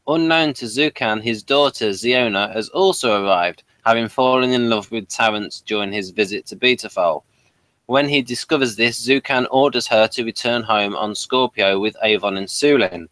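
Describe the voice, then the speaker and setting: A man's voice: medium pitch, fairly bright tone, no echo. A man hosting a review show, reading out summaries and putting questions. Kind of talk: reading an episode synopsis, then prompting discussion.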